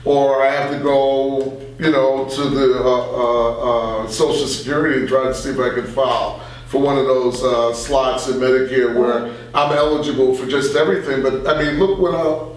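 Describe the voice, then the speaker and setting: A man's voice: medium pitch, fairly even intonation, normal speed, few pauses. An older man chatting casually about his views, opinionated and given to anecdote. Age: older, 60s or above. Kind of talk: casual political discussion. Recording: echoey room, distant from mic.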